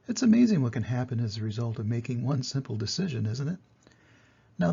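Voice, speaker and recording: A man's voice: a little high, creaky, dark tone, slightly rough, dull audio. An older man, a grandfather, reading and explaining to young children in a plainspoken, direct way, on a home recording.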